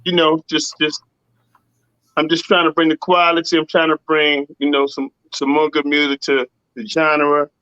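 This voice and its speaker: A man with a rough, raspy voice, a singer and producer talking casually in an interview and often saying "you know what I'm saying". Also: a little high, monotone, moderate pace, sharp tone, dry recording, muffled recording. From Southern US.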